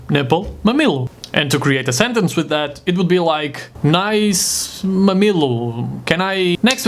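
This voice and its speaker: A man from Portugal with a Portuguese accent, a native Portuguese speaker, giving an informal vocabulary lesson in a casual, jokey manner. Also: high-pitched. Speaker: host teaching vocabulary. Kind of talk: informal video language lesson.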